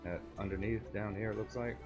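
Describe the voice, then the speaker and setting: A man's voice: lowish voice, steady tone, brisk, over music. A man giving casual gameplay commentary as a game streamer.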